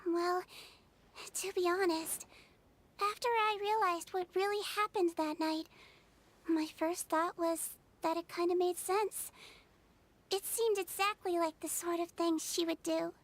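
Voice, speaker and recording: Very high, childlike little creature. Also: female voice, steady tone, a little slow, a little hiss or hum. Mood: earnest concern. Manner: earnest, childlike. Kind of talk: video game voice acting.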